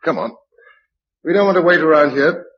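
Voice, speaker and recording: An actor playing a kindly, steady English survivor in a radio drama, speaking in a measured, understated way. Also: male voice, fairly high pitch, lightly acted. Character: kindly, steady male survivor narrating his journey. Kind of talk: radio drama narration and dialogue. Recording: dull radio recording, clear background noise.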